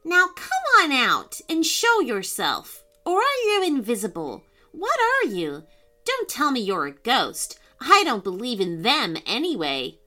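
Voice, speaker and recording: A woman's voice: high-pitched, wildly swooping pitch, takes it slowly, sharp tone, faint music behind, voice pushed up, exaggerated voice. A woman voicing a bold, bossy, playful girl adventurer in a children's story. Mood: defiant. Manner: teasing, confident.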